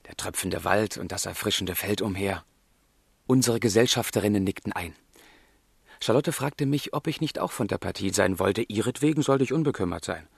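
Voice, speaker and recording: An ardent young man from a romantic literary radio drama. His voice sits fairly low with a husky grain. He speaks earnestly and poetically, full of melancholy longing. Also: moderate pace, dry recording. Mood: tender, reflective. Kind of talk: German literary radio drama, narration and dialogue.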